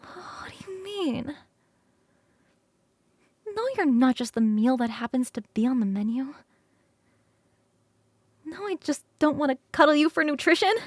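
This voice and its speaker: A woman performing an ASMR roleplay as a playful, affectionate succubus roommate who talks straight to the listener in a coaxing, halting, expressive way. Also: high voice, no room sound. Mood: earnest, flustered. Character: affectionate, playful succubus roommate, young-sounding, eager to cuddle. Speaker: streamer performing scripted roleplay.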